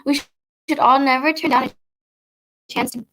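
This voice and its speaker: A woman announcer for a radio play, reading from a script. Her voice is fairly high, with little rise and fall. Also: steady tone, moderate pace, a bit bright.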